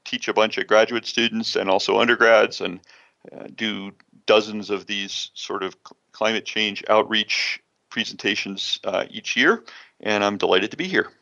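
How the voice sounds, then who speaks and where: Deep gravelly man, lo-fi call audio. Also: bright, forceful tone. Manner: deep voice with a twinkle, halting with fillers. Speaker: panelist, professor. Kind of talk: casual radio panel talk.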